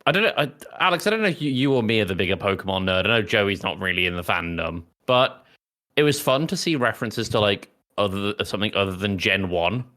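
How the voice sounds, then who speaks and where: A man's voice: on the low side, lively intonation, quick pace, pushed and bright. A man hosting a casual film discussion podcast in a chatty, jokey way. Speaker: podcast host.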